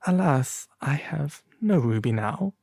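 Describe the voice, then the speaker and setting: Breathy, sorrowful prince statue voiced by a man. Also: fairly high pitch, expressive, slow pace, a little mellow, no echo, clean studio sound, full character voice. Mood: sad, resolute. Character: sorrowful, compassionate prince statue, gently pleading.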